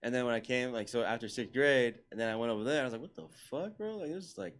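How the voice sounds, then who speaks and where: A young man from Southern California who grew up speaking Spanish first chats easily on a podcast, swearing and laughing along with his friends. His voice sits at a middling pitch. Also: fast. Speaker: podcast co-host. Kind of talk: casual chat.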